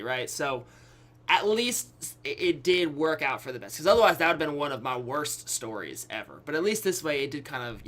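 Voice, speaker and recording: A young adult man with a bright, punchy voice tells a candid story in a casual online video style. He acts out bits of dialogue, leaves dramatic pauses and keeps checking in with his listeners. His pitch sits in an ordinary male middle range. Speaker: YouTube storyteller.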